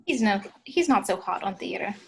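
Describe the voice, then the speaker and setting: A woman's voice: mid-range voice, expressive, normal speed, vocal fry, husky. A woman playing an exasperated but affectionate girlfriend in her twenties, earnest and pleading, in an informal table read of a film script. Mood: flat annoyance. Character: exasperated, affectionate 29-year-old girlfriend, wanting more from life.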